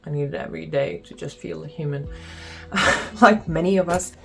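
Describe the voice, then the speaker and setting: Low-voiced Lithuanian woman vlogging casually. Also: normal speed, gravelly, fairly bright tone, faint music behind. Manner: chatty, frequent ums and uhs, exasperated asides. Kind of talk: casual talking-head vlog.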